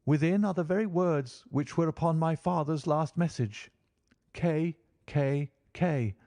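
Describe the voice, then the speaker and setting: A man's voice: unhurried, warm tone, dry recording, clean studio sound. A man voicing a frightened young Englishman who speaks earnestly and pleadingly, reading an audiobook. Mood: anxious. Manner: earnest, pleading, raised pitch.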